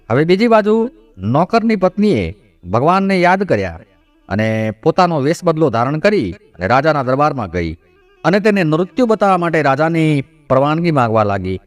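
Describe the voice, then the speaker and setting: A man's voice: on the high side, dry recording. A man narrating a scripted devotional moral folk tale as a storyteller.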